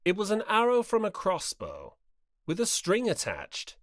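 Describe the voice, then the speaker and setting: A woman's voice: mid-range voice, wildly swooping pitch, a little slow, dry recording, studio quality. A woman reading scripted story narration for an audiobook.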